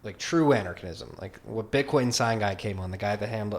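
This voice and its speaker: A man's voice: lowish voice, moderate pace. A man in his twenties hosting a podcast interview, talking loosely and rambling, with lots of "like". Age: 20s. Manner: loose, rambling, heavy use of "like". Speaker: podcast host. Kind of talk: casual conversational interview.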